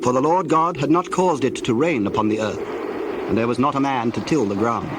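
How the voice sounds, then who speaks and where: A male narrator delivers scripture as solemn, measured storytelling. His voice sits at a medium pitch, with clearly audible ambient noise behind it.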